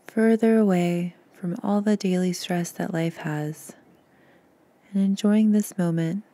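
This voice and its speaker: A woman guiding a meditation in a soft, soothing, gentle voice, breathy at times, with unhurried pauses. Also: lowish voice. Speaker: podcast host and meditation guide. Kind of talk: guided meditation narration.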